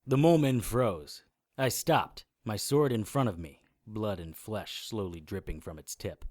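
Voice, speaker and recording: Low-voiced, hard-boiled monster hunter. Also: male voice, lively intonation, measured pace, a little mellow. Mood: tense. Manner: hard-boiled, matter-of-fact. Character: tough, defiant male private investigator, sword-fighting monster hunter. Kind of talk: first-person audio drama narration.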